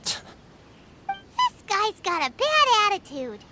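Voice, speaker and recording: Squeaky little childlike fairy companion. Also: female voice, big pitch swings, slow pace, heavy background noise, exaggerated voice. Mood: indignant. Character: small childlike female fairy companion, spirited and indignant. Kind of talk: video game voice acting. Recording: loud music behind the voice.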